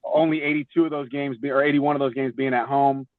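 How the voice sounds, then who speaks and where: A man's voice: mid-range voice, fairly even intonation, fast talker, no room sound. A young man from Florida chatting casually as a podcast guest, telling stories informally and often repeating words. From Florida, United States. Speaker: podcast guest, former baseball player. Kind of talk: casual chat, storytelling. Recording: tinny, phone-like call audio.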